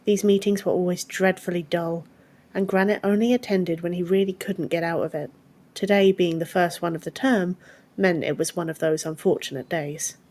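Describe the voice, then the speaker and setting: A woman narrates a fantasy audiobook in a fairly low register. The delivery is scripted storytelling, read as the narrator of the tale. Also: steady tone, normal speed, a little mellow.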